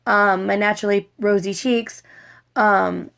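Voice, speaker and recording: A woman with a slightly raspy, scratchy voice, hoarse from a cold, giving a casual makeup tutorial in a rambling, repetitive way and often correcting herself. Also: mid-range voice, fairly even intonation, measured pace, fairly bright tone. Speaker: cosplay makeup vlogger.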